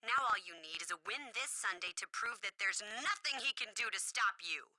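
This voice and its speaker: A man's voice: high-pitched, animated, moderate pace, heavy background noise. A man playing a smug, scheming backer in a wrestling video game. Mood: smugly amused. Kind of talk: acted video game message.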